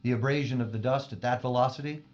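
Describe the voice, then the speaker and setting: A man's voice: medium pitch, steady tone, quick pace, darkish tone, a little hiss or hum, natural voice. A man playing a prickly, condescending scientist who speaks formally and precisely in a space audio drama. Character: haughty, exacting male scientist, prickly loner aboard spaceship. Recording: dull band-limited audio with faint music.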